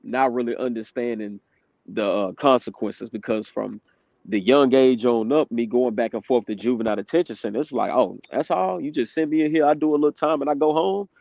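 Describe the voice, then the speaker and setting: A man's voice: medium pitch, brisk, gravelly, darkish tone, no echo. A man in his twenties tells his own story in a candid, casual way, laughing now and then. His voice sits in a medium range. The recording is dry and a bit dull. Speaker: guest. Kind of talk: casual testimony interview.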